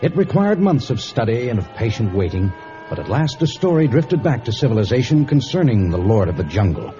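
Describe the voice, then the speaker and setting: An old, muffled radio recording with faint music behind a man narrating a drama. His voice is mellow and his scripted storytelling deliberate, with wide swings in pitch. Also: big pitch swings. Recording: dull vintage radio recording.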